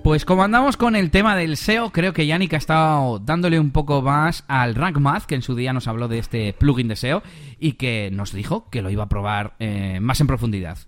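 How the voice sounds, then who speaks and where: A man, co-hosting a casual tech podcast, explaining things in a relaxed way with rhetorical questions. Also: medium pitch, normal speed, soft background music. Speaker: podcast co-host.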